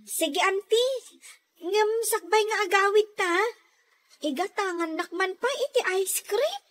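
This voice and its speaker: Casting: woman as meek, put-upon young girl, Ilocano accent, extremely high shrill voice, plaintive radio-drama delivery. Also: unhurried, a bit bright. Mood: pleading. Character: meek overworked young girl, bullied household drudge.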